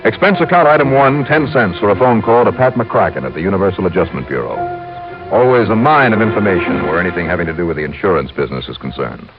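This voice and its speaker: An actor playing a brisk, persistent American insurance investigator, clipped and insistent, in 1950s radio detective drama style. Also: male voice, deep voice, animated. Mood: wry narration. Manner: clipped, insistent, hard-boiled. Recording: dull old radio recording with music.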